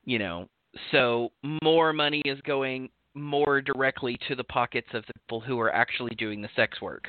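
A man's voice: mid-range voice, moderate pace, no room sound. A man in his thirties, co-hosting a podcast in casual chat, rambling frankly with lots of fillers. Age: 30s. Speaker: podcast co-host. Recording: tinny phone-like call audio, dry.

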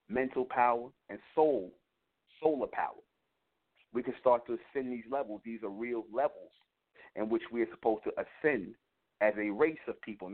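Man, radio host, spiritual monologue, repetitive emphatic delivery, phone-quality audio. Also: mid-range voice. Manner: preaching, repetitive emphatic phrasing. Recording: tinny phone line.

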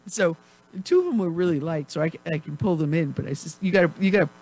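A chatty woman hosts a talk radio show, rambling from one everyday story to the next and laughing often. Her voice is starting to go hoarse. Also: fairly low pitch, animated, quick pace, a little mellow, low-quality recording. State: losing her voice. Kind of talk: casual talk radio chat.

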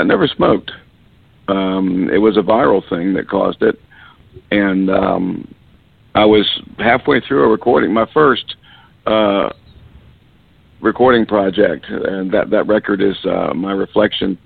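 A middle-aged man, a musician guest with a raspy voice, talking in a relaxed, rambling way with many fillers. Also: lowish voice, no echo. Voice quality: raspy voice after illness. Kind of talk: phone interview on radio. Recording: dry tinny phone line.

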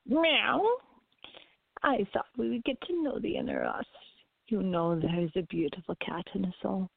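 Woman acting a husky, mystical cat-girl. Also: medium pitch, sing-song, normal speed, heavily acted. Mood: mystical, coaxing. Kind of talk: farce radio play acting. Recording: muffled, phone-like narrow-band audio.

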